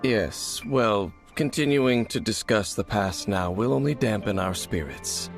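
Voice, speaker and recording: Grave old-world knight, low, measured voice, faint music underneath. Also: male voice, lively intonation. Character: solemn male knight, grave keeper of ancient secrets. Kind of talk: video game voice acting.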